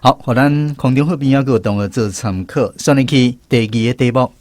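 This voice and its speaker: A man's voice: medium pitch, fairly quick, darkish tone, dry recording. A man with a Taiwanese accent hosting a language lesson segment on the radio. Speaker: radio host.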